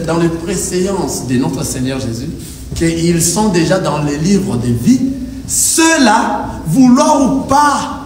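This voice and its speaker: High-voiced preaching man in echoey hall. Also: darkish tone. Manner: emphatic preaching with call-and-response. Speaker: pastor. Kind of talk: sermon. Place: church hall. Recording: echoey hall, distant mic, faint noise. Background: congregation answering amen.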